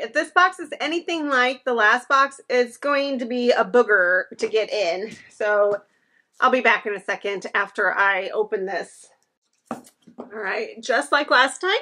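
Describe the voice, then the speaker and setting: Bright-voiced woman gushing in an unboxing. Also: fairly high pitch, measured pace. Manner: chatty and enthusiastic, gushing over items. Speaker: home decor vlogger. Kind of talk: casual unboxing chat to camera. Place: living room at home, indoors. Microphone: camera across the room.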